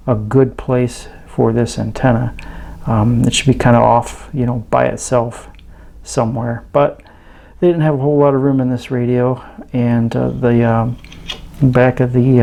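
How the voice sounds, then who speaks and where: Mellow, creaky-voiced man thinking aloud. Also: mid-range voice, a little hiss or hum. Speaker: host of an electronics teardown channel. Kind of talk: casual hands-on demonstration commentary.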